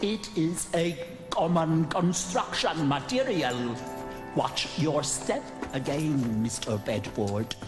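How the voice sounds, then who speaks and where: A polite alien guide in a radio drama. He talks slowly and formally, with a lively rise and fall, music behind him in a reverberant space. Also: on the high side. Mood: polite, matter-of-fact. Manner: formal, measured. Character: polite, ceremonious male alien guide on the moon.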